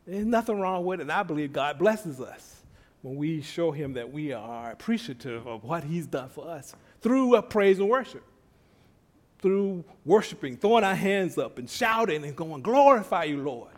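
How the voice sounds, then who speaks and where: A middle-aged male preacher with a slightly higher voice, talking to his congregation in a folksy, conversational way. His voice is soft when he is relaxed and climbs into excited shouts of praise. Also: normal speed. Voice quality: soft-spoken at rest. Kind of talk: conversational sermon.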